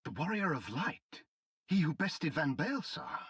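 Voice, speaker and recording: A man playing a fierce, excitable soldier in a fantasy game, with a rough, husky voice. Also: on the high side, a little slow, no room sound, studio quality, exaggerated voice. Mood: incredulous. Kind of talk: scripted fantasy game cutscene acting.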